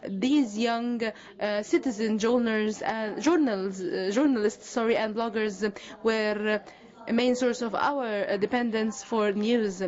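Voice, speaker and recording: Brief: female simultaneous interpreter, slightly high voice, halting delivery full of fillers and self-corrections, muffled conference feed. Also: a little mellow.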